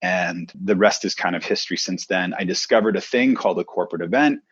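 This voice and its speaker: Husky low-voiced Canadian man. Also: quick pace, fairly bright tone, dry recording, highs cut off. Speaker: guest, presenter coach. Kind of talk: relaxed storytelling.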